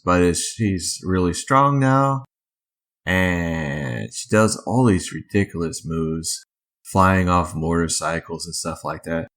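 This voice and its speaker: A man's voice: sits low, fairly bright tone. A man hosting a casual review commentary, talking informally and offering his opinions as he goes. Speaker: video host ranking films.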